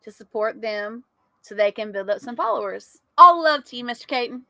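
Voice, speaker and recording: A woman's voice: on the high side, fairly quick, dry recording. A woman with a Southern US accent, chatting casually and laughing often as host of a live-streamed podcast. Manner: giggly, animated, laughs often.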